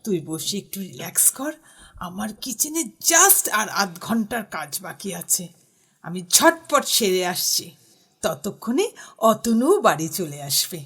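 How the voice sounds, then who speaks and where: An actress playing a doting, chatty elder sister in an audio drama, affectionate and fussing. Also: female voice, mid-range voice, very animated, slow pace, a bit bright, slightly rough, full character voice. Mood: chatty, teasing. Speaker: supporting character.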